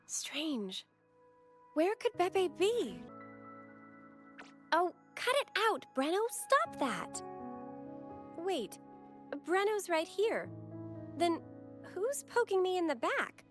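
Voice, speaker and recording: A devout young church girl from a video game. She speaks in a high, animated voice with polite, earnest hesitancy. Music runs underneath. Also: slow, pauses often, full character voice. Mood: puzzled. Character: sweet, devout, flustered young church acolyte woman.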